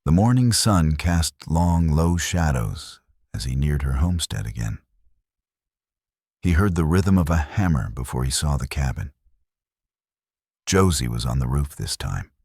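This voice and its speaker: A man reading scripted western romance narration in a measured, dramatic storytelling manner, with a gravelly voice. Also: rumbling low, animated, a little mellow, dry recording, clean studio sound. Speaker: audiobook narrator.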